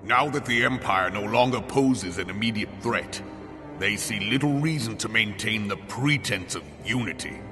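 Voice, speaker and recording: A man's voice: lowish voice, normal speed, lightly acted. An actor playing a stern, dignified statesman in a fantasy game, speaking with formal, solemn authority. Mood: grave, confiding. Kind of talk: dramatic fantasy game dialogue. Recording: long hall reverb under loud music.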